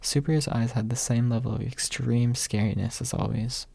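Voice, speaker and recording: A man reading an audiobook in a soft ASMR narration style. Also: mid-range voice, fairly even intonation, normal speed, gravelly, husky, no echo.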